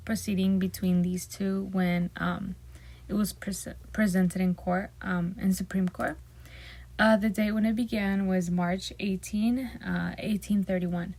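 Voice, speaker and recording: A woman whose first language is Spanish gives a nervous, hesitant class presentation, with many pauses, ums and restarted words. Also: medium pitch, mellow. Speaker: student presenting a project.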